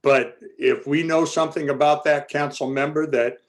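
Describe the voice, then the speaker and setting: A man's voice: a little high, fairly even intonation, normal speed. Plain-spoken former councilman on a call. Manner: plain-spoken, says he has a loud voice. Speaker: public commenter, former city council member. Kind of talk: public comment at a community forum. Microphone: video call.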